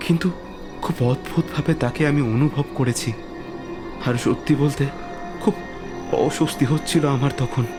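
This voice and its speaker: An actor playing a frightened young man, stammering and breathless as he recounts being chased in the dark. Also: medium pitch, a little slow, long pauses, over music, close to an everyday voice. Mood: fearful retelling. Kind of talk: Bengali audio drama acting.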